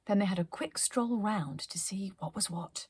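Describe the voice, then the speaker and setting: A woman hosting storytime, narrating a children's story expressively and deliberately, with hushed phrase endings. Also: mid-range voice, husky, no echo. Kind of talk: animated children's story reading.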